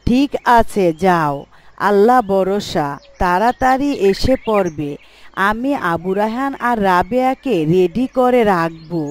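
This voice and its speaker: A woman's voice: mid-range voice, lively intonation, a little slow, a little hiss or hum, natural voice. A woman playing a caring, devout fisherman's wife and mother, earnest and coaxing, in a story drama. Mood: worried, earnest. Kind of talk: Bengali Islamic moral story drama acting.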